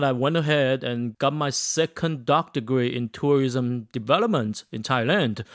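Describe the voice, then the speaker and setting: A man's voice: medium pitch, clean tone, no echo, studio quality. A middle-aged man from Taiwan co-hosting a podcast in casual chat, friendly, with frequent fillers. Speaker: podcast co-host.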